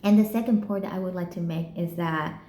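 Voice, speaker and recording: A young Vietnamese woman in her twenties with a Vietnamese accent, speaking English as a second language in a reflective personal vlog, soft-spoken and halting, often restarting her sentences. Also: on the low side, echoey room, a little hiss or hum. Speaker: vlogger.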